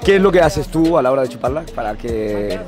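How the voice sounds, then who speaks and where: A man's voice: medium pitch, expressive, fairly quick. A young man with a Spanish accent from Spain, asking blunt, teasing questions into a handheld mic in an outdoor park at night. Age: young adult. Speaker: street interviewer. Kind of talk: provocative street interview. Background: festival music and crowd.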